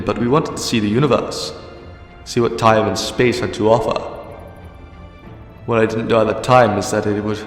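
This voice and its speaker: Wry, resolute captive time-traveller hero for audio drama male actor, low register, cavernous reverb. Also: natural voice. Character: defiant, imprisoned adult male time-travelling alien hero. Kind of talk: fan audio drama acting. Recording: echoey, long reverb, music underneath.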